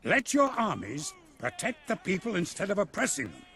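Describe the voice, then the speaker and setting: A British actor playing an honorable, earnest army officer in a video game, speaking in a stirring, formal way. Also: male voice, sits high, wildly swooping pitch, moderate pace, bright, forceful tone, heavily acted. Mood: earnest resolve. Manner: stirring, formal, stiff-upper-lip. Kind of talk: video game cutscene acting.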